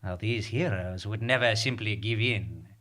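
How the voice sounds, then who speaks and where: An actor playing a menacing bandit leader in a live comic western radio drama, speaking in a grandiloquent, deliberate, theatrical way. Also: male voice, fairly low pitch, a bit bright, lightly acted. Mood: smug, calculating.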